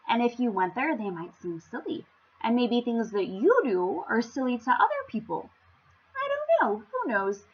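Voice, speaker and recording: A woman reads a children's story in a high, very animated voice. She leans on words with playful, theatrical emphasis for young listeners. The sound is slightly dull and lo-fi. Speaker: children's story-time reader. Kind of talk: expressive storybook read-aloud.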